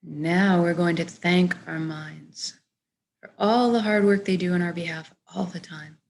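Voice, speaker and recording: A woman with a slightly low voice guides a meditation. Her delivery is gentle and measured, with soft phrases that trail off as she gives soothing instructions. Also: fairly even intonation, creaky, slight background noise.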